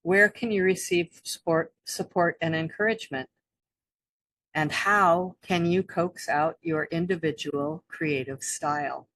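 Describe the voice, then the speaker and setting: A woman co-hosting a spiritual talk, speaking haltingly with many restarts and soft laughs. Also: lowish voice, steady tone, dry recording, low-quality recording. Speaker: co-host. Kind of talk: spiritual teaching chat.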